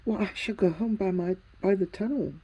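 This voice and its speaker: A woman voicing a prim, sharp-tongued young English girl in a storybook reading, cool and sarcastic. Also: mid-range voice, normal speed, husky, pitched above the performer's own voice, low-quality recording. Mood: cold.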